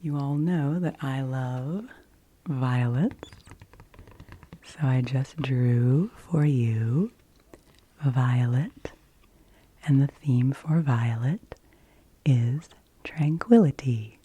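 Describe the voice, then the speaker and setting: A woman hosting ASMR in a soft, breathy voice that often drops to a whisper, speaking in a gentle, hushed, unhurried way. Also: very deep voice, a little hiss or hum. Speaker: ASMR video host.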